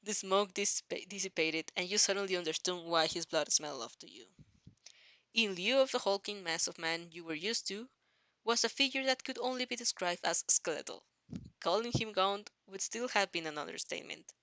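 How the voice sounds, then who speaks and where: A woman reading a story aloud as an amateur fan-fiction narrator, dramatic but halting, often repeating phrases. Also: medium pitch, a bit bright, dry recording.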